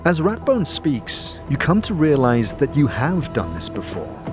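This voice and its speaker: A man's voice: mid-range voice, wildly swooping pitch, a little slow. Man narrating fantasy drama over music. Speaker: narrator of an audio drama series. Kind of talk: dramatic second-person scripted fantasy narration.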